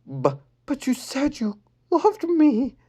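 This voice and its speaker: A man reading a fan story aloud, voicing a sweet, naive teenage girl in a very high, squeaky voice. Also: wildly swooping pitch, brisk, exaggerated voice. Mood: tearful.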